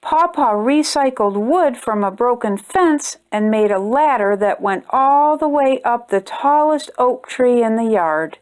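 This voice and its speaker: Slow, high-pitched woman reading a storybook. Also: lively intonation, darkish tone, clean tone. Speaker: storyteller reading a children's book. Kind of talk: read-aloud storybook narration for young children.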